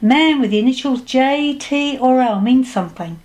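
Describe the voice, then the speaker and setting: A woman's voice: high voice, expressive, moderate pace, darkish tone, pitched above the performer's own voice. A woman voicing a blunt, theatrical older woman psychic who pronounces fortunes colloquially in a storybook reading. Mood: excited. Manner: colloquial, pronouncing, calls listeners dear.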